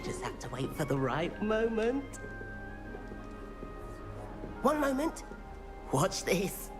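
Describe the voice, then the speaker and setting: An actor playing a breezy, mischievous teenage prankster at a wizard school in a video game. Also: male voice, high voice, big pitch swings, slow pace, music in the background, exaggerated voice. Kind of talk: video game dialogue acting.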